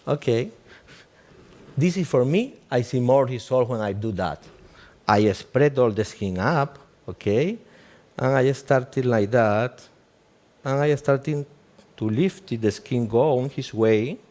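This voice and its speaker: A man's voice: medium pitch, darkish tone. Cuban-accented man demoing a procedure. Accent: Cuban Spanish. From Cuba. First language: Spanish. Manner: halting non-native English, frequent "okay?" check-ins, joking. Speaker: aesthetic doctor giving a live demo. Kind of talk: live procedure demonstration.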